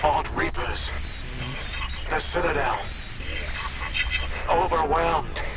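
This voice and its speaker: An actor voicing a damaged ancient warning message in fragmented, halting words. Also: male voice, fairly high pitch. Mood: urgent. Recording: distant, noisy degraded message.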